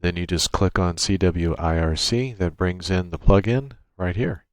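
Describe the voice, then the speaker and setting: Low-pitched man giving a software tutorial. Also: normal speed, dry recording. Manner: matter-of-fact, step-by-step explaining. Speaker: tutorial presenter. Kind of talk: unscripted software tutorial narration. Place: indoors at a computer, screen-recording voice-over.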